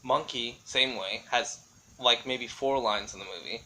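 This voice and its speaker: A man's voice: medium pitch, measured pace, bright, forceful tone, rough recording. Rambling casual man over background noise. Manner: tentative, thinking aloud, often qualifying himself. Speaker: vlogger. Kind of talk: casual rambling solo commentary. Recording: clearly audible background noise.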